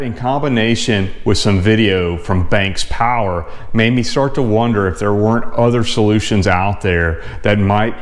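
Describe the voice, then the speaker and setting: A man in his forties with a fairly low voice walks through racetrack data in a measured, plain-spoken way. He talks straight to the camera from his home garage. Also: a little hiss or hum. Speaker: car channel host. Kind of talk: explaining test results to camera.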